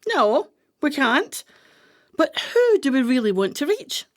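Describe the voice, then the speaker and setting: An actress playing a brisk-minded, commanding woman plotter in a radio play, with a deliberate, declamatory delivery. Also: high-pitched, big pitch swings, heavily acted. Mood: rousing, determined.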